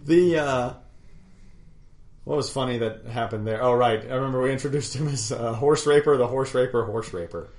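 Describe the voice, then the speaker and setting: Brief: male game master, mid-pitched and ordinary, rambling, self-mocking storyteller on a podcast. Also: moderate pace, a little mellow. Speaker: game master recapping a past campaign. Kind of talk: casual podcast chat.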